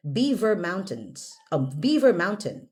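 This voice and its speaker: A woman's voice: fairly low pitch, sing-song, unhurried, darkish tone. A woman teaching English pronunciation one on one, instructing, correcting and encouraging as she models words.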